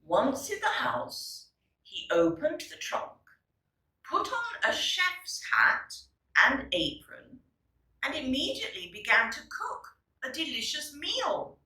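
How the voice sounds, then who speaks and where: A woman teacher reads a children's story expressively, pausing to explain and address young listeners in a deliberate, instructive way. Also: sits high, huge pitch swings, bright, forceful tone. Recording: roomy echo, distant microphone.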